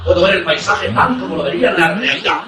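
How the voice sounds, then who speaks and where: A man playing a reckless, excitable fighter pilot commander in a suspense radio drama, speaking in breathless, intense exclamations. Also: high voice, fast, a crowd in the background. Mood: exhilarated. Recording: distant, echoey, noisy cockpit-like sound.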